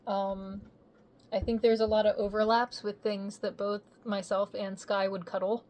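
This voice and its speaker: Mellow-voiced chatty woman. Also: medium pitch, flat delivery, moderate pace. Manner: chatty, easygoing storyteller. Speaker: voice actor guest. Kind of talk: casual interview chat.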